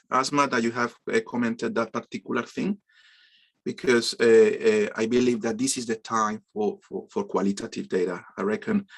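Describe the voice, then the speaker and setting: A man's voice: fairly low pitch, fairly bright tone, slightly rough. A man teaching an online business course over a video call, often stumbling and repeating words as he responds to students. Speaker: course instructor. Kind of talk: online class introductions.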